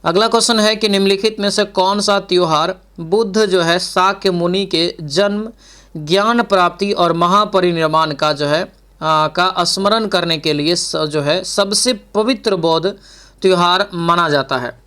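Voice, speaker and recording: A man's voice: sits high, a little slow, pushed and bright, clear, resonant tone. A man teaches an exam-prep lesson. He reads out quiz questions, then explains the answers, repeating key points and speaking straight to his students. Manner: repeats questions and answers, addresses learners directly.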